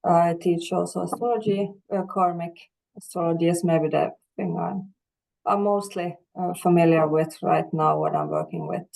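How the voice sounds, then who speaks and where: A woman from Finland, a working astrologer, introducing herself casually in a video meeting with slightly halting speech. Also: lowish voice, expressive, gravelly, darkish tone, low-quality recording. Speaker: astrologer and teacher.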